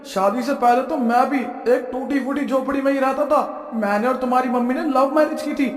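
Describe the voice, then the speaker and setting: A man's voice: extremely high pitch, steady tone, a little fast, faint music behind. A man voicing a principled, wealthy middle-aged father in a cartoon story, speaking earnestly and moralizing. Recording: echoey room with long reverb.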